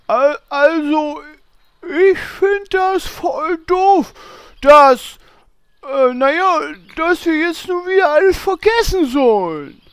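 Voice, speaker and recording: Whiny, slow-talking, high-pitched dimwit. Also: female voice, lively intonation, no echo. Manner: whiny, hesitant, simple-minded. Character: childish, dim, naive sidekick Horst, easily confused. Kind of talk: parody audio drama acting.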